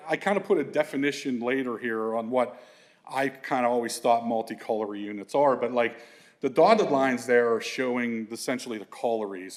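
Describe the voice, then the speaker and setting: A middle-aged man with a big, full voice gives a slide talk to a room of colleagues. His speech is loose and conversational, full of fillers, restarts and asides. He sits at an everyday male pitch. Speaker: environmental consultant presenting to an audience. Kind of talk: conference slide presentation.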